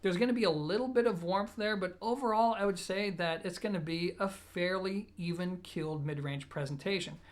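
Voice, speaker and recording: A man presenting a product review in a conversational, explanatory way, measured but leaning on key words for emphasis. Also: sits high. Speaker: audio gear reviewer, presenter.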